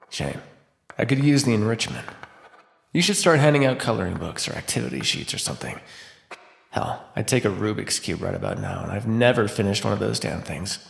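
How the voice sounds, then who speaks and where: Man acting a snarky, creaky-voiced captive vampire. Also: lowish voice. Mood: taunting, teasing. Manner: sarcastic, taunting, flippant. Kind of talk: ASMR roleplay monologue. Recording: roomy echo.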